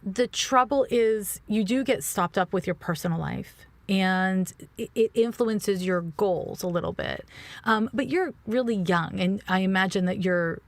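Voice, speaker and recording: A woman's voice: mid-range voice, unhurried, no room sound. A woman giving a conversational astrology reading as a podcast guest, speaking frankly and informally with casual swearing. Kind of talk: conversational birth chart reading.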